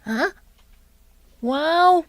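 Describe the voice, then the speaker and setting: An innocent little schoolchild in a radio drama. The voice is high and slow, with simple questioning phrases and an Ilocano Filipino accent. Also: female voice, animated, full character voice. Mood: curious, pleading.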